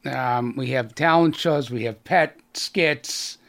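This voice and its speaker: A man's voice: medium pitch, takes it slowly, fairly bright tone. A middle-aged man with a Boston accent, a guest on a business radio show, talking earnestly with many restarts and fillers. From Boston area, USA. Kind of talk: radio interview.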